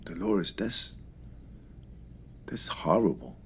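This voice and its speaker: An actor playing an easygoing, generous New shopkeeper, talking in casual, reassuring slang. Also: male voice, low-pitched, sing-song, takes it slowly, fairly bright tone, slight background noise, exaggerated voice. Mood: sympathetic. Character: easygoing, generous, rough-edged New York shopkeeper, man. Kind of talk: naturalistic audio drama dialogue.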